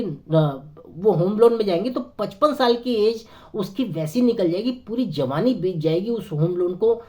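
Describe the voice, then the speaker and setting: A hoarse man with a sore throat, talking earnestly to camera as a network marketing consultant, persuading with many hesitant fillers. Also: high-pitched, dark tone. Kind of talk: persuasive motivational talk to camera.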